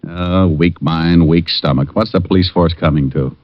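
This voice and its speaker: An actor playing a wisecracking private detective who narrates and trades dry, sardonic gags, in 1950s radio drama style. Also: male voice, low voice, moderate pace, background noise, close to an everyday voice. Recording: lo-fi old radio broadcast with faint music.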